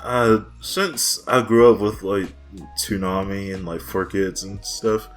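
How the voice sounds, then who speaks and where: Very deep-voiced young man, laid-back. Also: fairly even intonation, takes it slowly, soft background music. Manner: laid-back, lots of "like" and "bro", laughs often. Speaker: podcast co-host. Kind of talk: casual chat.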